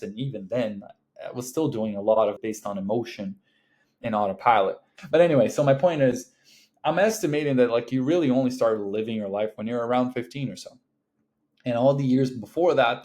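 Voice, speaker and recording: Rambling casual young man, mid pitch. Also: darkish tone. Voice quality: rambling, heavy use of fillers like "like" and "um", swears casually. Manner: laughs between thoughts. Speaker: video creator. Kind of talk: casual talking-to-camera vlog.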